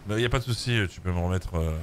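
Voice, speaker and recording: A man's voice: sits low, rapid-fire. A man streaming a roleplay game, bantering and teasing other players. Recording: noisy stream audio.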